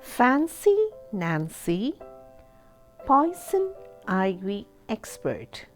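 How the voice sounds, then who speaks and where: Lady doing kids storytime, really slow n sing-songy, asks questions, faint music behind. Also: medium pitch, sing-song. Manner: asks listeners questions and explains words simply. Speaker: children's storytime host. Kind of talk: slow, expressive read-aloud with explanations for children.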